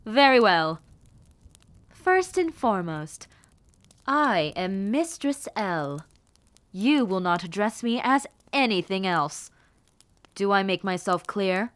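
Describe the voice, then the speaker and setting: A woman playing a haughty, menacing rebel mistress in a fantasy audio roleplay, speaking formally and condescendingly to the listener with theatrical pauses. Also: mid-range voice, expressive, a bit bright, dry recording. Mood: commanding, imperious. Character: haughty, menacing villainess, rebel mistress with sweet cruel poise.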